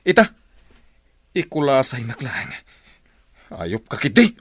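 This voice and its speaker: A man's voice: medium pitch, very animated, slow, dry recording, clean studio sound. A man acting as a bitter estranged husband and father in a radio drama, confrontational and demanding. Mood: angry, threatening.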